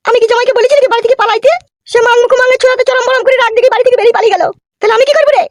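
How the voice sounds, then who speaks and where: A woman playing a shrill, foul-mouthed, quarrelsome mother-in-law in a comedy cartoon, scolding and arguing. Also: fairly even intonation, racing, a little hiss or hum. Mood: angry scolding. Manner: scolding, cursing, argumentative.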